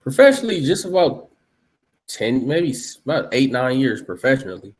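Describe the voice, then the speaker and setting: A man's voice: mid-range voice, big pitch swings, normal speed. A man in his late thirties from Los Angeles, a guest artist talking casually in an interview, rambling with many fillers and restarts.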